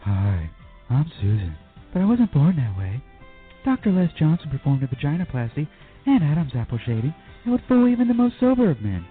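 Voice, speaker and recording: Casting: man as upbeat, coy transgender woman for a spoof testimonial spot, lively intonation, phone-like audio. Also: a little high, brisk. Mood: cheerful, coy. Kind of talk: parody radio commercial. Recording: tinny narrowband audio with music.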